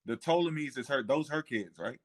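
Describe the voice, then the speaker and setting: A man chatting informally about history, thinking aloud in a halting way as he works out details. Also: medium pitch, animated. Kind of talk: casual history discussion.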